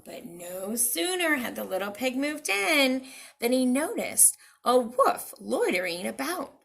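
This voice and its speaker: A woman's voice: sits high, very animated, a little slow, gravelly, fairly bright tone. A woman reading a children's story aloud with dramatic expression, speaking directly to young listeners.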